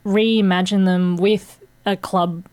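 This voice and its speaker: A woman, artist and musician, talks on a radio interview. She speaks thoughtfully, pausing with fillers, in a dry, close studio sound. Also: mid-range voice, fairly even intonation, a little mellow, dry recording.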